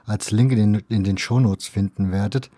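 A man's voice: on the low side, fairly even intonation, normal speed, dark tone, no room sound. A man hosting a podcast, asking questions in a conversational interview style.

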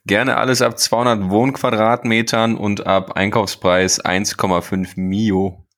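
A man with a deep, low-pitched voice co-hosts a podcast. He banters casually and jokingly, often repeating a word or two before the sentence gets going. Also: level tone, measured pace, fairly bright tone. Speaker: podcast co-host.

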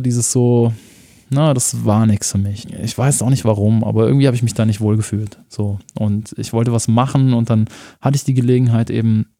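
A man's voice: medium pitch, warm tone, dry recording, clean studio sound. A man in his thirties from Munich, talking casually as an interview guest, rambling and laughing often, with a lot of slang. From Germany (Munich).

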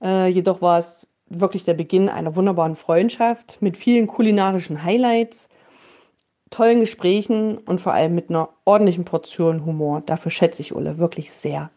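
A woman introducing herself and describing a friend in a steady, slightly formal voice message. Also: lowish voice, moderate pace. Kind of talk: read-out voice message. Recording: dull phone voice memo.

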